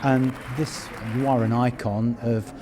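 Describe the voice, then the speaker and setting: A man hosting a red-carpet interview, chatty and encouraging, asking quick questions at a busy awards event. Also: mid-range voice, little pitch movement. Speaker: podcast host. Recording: crowd noise around the mic. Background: crowd chatter.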